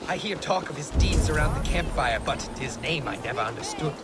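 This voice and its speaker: An actor playing a proud, troubled young Norse warrior leader in a video game. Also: male voice, a little high, lively intonation, fairly quick, over music, natural voice. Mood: wistful, boastful. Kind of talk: video game character dialogue. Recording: noisy outdoor game ambience behind dialogue.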